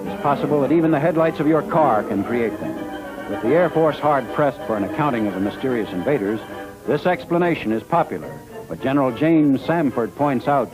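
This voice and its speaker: A man's voice: medium pitch, poor audio. An American man delivering scripted narration in 1950s newsreel style. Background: music.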